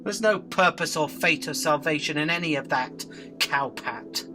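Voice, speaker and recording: A man playing a cheeky, fast-talking fly in a radio drama, pleading and rambling, deferential but impudent. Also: a little high, music in the background, lightly acted. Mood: earnest, reflective. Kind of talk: illustrated radio drama acting.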